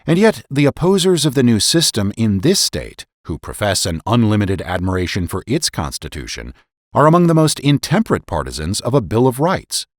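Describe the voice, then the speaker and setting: Narrator: American man, deep register, animated melody, trained and measured formal delivery for historical readings, music underneath. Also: no room sound, studio quality. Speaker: podcast host and narrator. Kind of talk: scripted narration and dramatic reading of historical documents.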